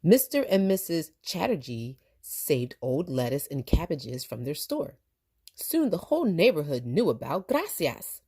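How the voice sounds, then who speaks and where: A woman reading a children's story aloud as a storytime teacher, expressive and deliberate. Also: low-pitched, breathy edge.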